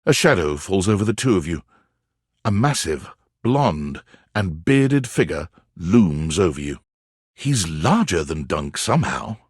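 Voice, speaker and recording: Casting: wry game narrator, lowish husky voice, lively intonation, scripted storytelling. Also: male voice, unhurried, no room sound, clean studio sound, heavily acted. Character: wry second-person narrator of a dating game.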